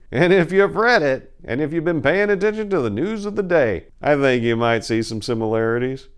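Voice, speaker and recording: A man hosting with dramatic commentary addressed to his audience, speaking theatrically and emphatically, drawing out key words. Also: fairly low pitch. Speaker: host.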